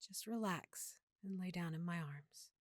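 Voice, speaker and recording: A woman's voice: on the low side, measured pace, dry recording, studio quality. A woman playing an affectionate, opinionated girlfriend who is secretly a vampire, in an intimate, conversational audio roleplay. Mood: tender, hushed, secretive. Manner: chatty, playful, geeky explaining.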